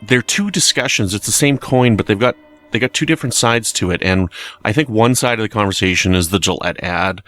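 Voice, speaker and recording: A man giving opinionated, conversational podcast commentary in an earnest, emphatic way, sometimes halting as he reasons aloud. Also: on the low side, fairly bright tone. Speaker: podcast commentator reviewing a TV episode.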